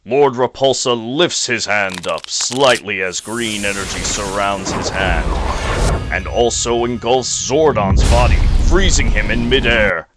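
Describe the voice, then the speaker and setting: A man reading scripted fantasy audio drama narration as the storyteller. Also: fairly low pitch.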